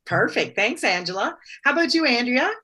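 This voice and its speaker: This woman hosts an online networking call and calls each guest by name. Her tone is bright and a little husky. Her pitch moves a lot as she keeps things moving. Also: very animated, fairly quick. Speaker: webinar host. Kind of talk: casual online networking meeting.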